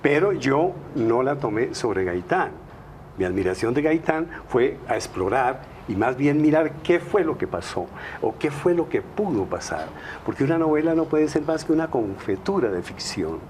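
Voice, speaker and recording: A man's voice: medium pitch, very animated, normal speed, darkish tone, slight background noise. A man, a novelist, speaking emphatically on a studio panel, stacking words in rhythmic series. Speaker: novelist guest.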